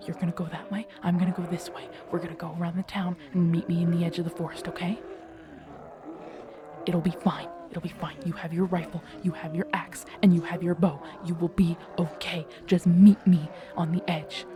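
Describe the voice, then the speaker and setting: A woman playing a protective, guarded young survivor of a zombie apocalypse in an intimate audio roleplay, with hushed, urgent, halting delivery. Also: fairly low pitch, noisy background, natural voice.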